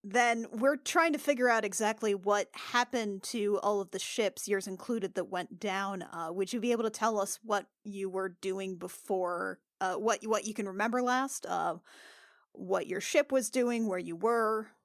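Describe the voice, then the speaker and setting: A woman's voice: mid-range voice, a little slow, no echo. Polite, hesitant woman in dry sound. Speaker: tabletop game player. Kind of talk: improvised in-character game dialogue.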